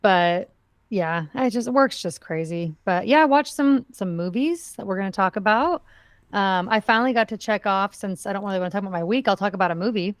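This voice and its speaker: A woman of middle pitch speaking calmly and a little wearily as she chats about films on a podcast. Her voice is recorded dry, with no room sound around it. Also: fairly quick, dry recording. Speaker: podcast co-host. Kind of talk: casual film podcast chat.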